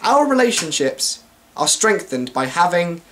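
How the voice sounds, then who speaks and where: British man, very animated wry delivery. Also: high-pitched, measured pace, noisy background. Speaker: vlogger. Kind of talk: scripted comic monologue to viewers.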